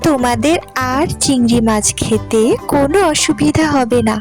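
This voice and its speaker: A woman's voice: high voice, normal speed, darkish tone, voice pushed down, natural voice. A woman voicing a tender, earnest mother tailorbird in a children's storybook cartoon. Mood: gentle, motherly. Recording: music under the voice.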